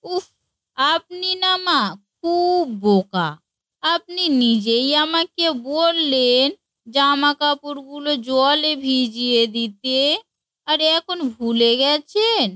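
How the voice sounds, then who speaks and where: A high-voiced, slow-talking young wife played by a woman in a comic cartoon. She sounds naive, whiny and innocently stubborn, as if nothing could be her fault. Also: lively intonation, pushed and bright, dry recording, heavily acted. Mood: defensive. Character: dim-witted, innocently stubborn young Bengali daughter-in-law.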